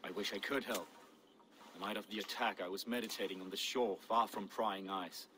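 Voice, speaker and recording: An actor playing an earnest Viking visionary in a video game, speaking in a solemn, deliberate, dramatic way. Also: male voice, lowish voice, fairly even intonation, sharp tone, a little hiss or hum. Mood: guarded. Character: mystical Viking seer driven by visions, earnest. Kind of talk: video game cutscene dialogue.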